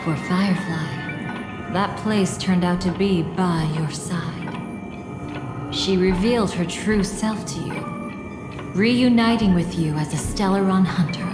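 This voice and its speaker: An actress playing a poised, wistful storyteller in a video game, narrating bittersweet memories in a measured, elegant, reverberant voice. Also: female voice, lowish voice, over music. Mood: wistful, reflective. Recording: heavy echoing hall reverb.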